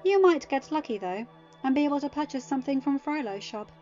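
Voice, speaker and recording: Woman voicing composed goddess queen, dry, measured, quietly cutting, high voice, soft background music. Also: animated. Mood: cool. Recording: muffled audio with soft music.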